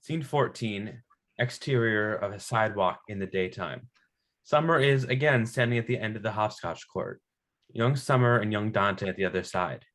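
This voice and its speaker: A man's voice: lowish voice, normal speed, fairly bright tone. A man reading screenplay stage directions as narrator at a table read.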